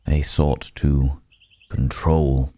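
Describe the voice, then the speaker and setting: A man's voice: extremely low pitch, flat delivery, extremely slow, warm tone, dry recording, full character voice. A man playing a weary, courteous cursed werewolf in an ASMR roleplay, speaking in formal, old-fashioned phrases with pensive hesitation. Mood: grave, bitter.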